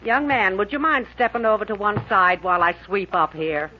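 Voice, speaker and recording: A folksy working-class cleaning woman played by an actress in a vintage radio comedy, blunt and nosy. Her lively, varied intonation comes through a muffled, hissy recording. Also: mid-range voice, moderate pace, natural voice. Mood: brisk, blunt. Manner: folksy, matter-of-fact. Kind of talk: 1940s radio comedy acting.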